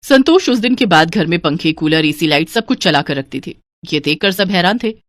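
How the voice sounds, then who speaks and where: A woman's voice: fairly low pitch, lively intonation, moderate pace, no echo, studio quality. A woman narrating a scripted moral story as the storyteller.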